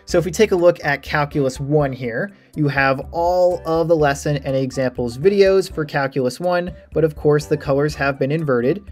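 A man's voice: fairly high pitch, a little mellow. A man giving an informal, explanatory screen-share walkthrough as an online tutorial creator. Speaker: math tutorial creator giving a site tour. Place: voice-over for a screen recording.

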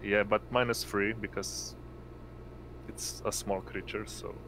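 A man running a tabletop roleplaying game as game master, halting and searching for words as he narrates. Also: on the low side, steady tone, a bit bright, slight background noise, rough recording.

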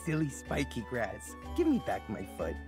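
A man's voice: mid-range voice, wildly swooping pitch, moderate pace, music in the background. A man voicing a naive, cheerful, childlike little snowman in a game, innocent and full of whimsical wonder. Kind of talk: video game cutscene acting.

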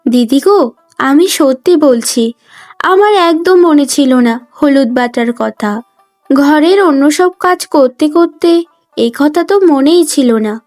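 একজন মহিলা কার্টুন গল্পে স্নেহময়ী, কর্তব্যপরায়ণ তরুণী ছোট বোনের চরিত্রে কণ্ঠ দিচ্ছেন, কথায় নরম অনুনয় আর যত্ন।. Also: female voice, high-pitched, expressive, unhurried, soft background music. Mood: apologetic, pleading. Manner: gentle, pleading, caring. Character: sweet, dutiful, affectionate young younger sister. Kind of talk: Bengali cartoon story voice acting.

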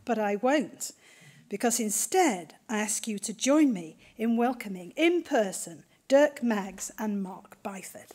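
A middle-aged woman with a fairly high voice introduces guest speakers from a lectern. Her delivery is that of a prepared speech to a lecture theatre. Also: darkish tone. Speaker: event host introducing speakers.

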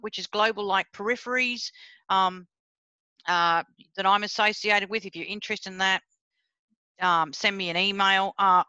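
A woman's voice: mid-range voice, bright, forceful tone, dry recording, lo-fi sound. A middle-aged woman professor from Sri Lanka, leading a seminar over a video call in a blunt, chatty way that speaks straight to her listeners. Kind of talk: informal academic talk on a video call.

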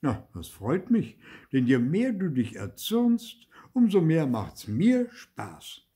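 A stern, taunting farmer from a children's storybook, played by a man. The voice is husky and mellow with big swings in pitch, gruff yet full of mocking politeness. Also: huge pitch swings, slow, heavily acted. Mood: gloating. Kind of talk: dramatised children's storybook reading.

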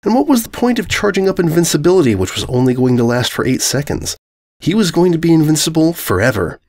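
Animated male story narrator. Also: fairly high pitch, fast. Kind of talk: scripted comic story narration.

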